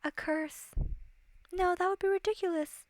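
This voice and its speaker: A woman's voice: very high-pitched, steady tone, normal speed, no room sound, voice pushed up. A woman voicing a naive, dramatic young princess in a storybook read-aloud, prim and formal. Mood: prim dismay.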